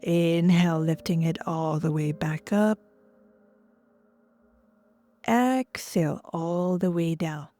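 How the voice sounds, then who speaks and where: A woman leading guided relaxation and breathwork as a yoga instructor, gentle and soothing, often trailing off into a breathy near-whisper. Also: fairly low pitch, a little slow. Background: soft music.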